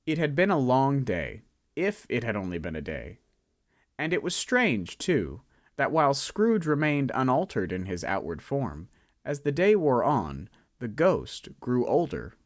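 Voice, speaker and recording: Expressive male storyteller, dry sound. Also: mid-range voice, a little slow, no room sound. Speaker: narrator. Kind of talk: scripted narration.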